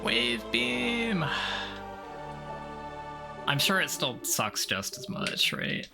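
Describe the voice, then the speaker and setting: A man's voice: fairly high pitch, huge pitch swings, drawn-out words, gravelly, over music. A man giving casual live commentary as he plays a video game, rambling and thinking aloud in a blunt, informal way. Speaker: streamer doing a game playthrough.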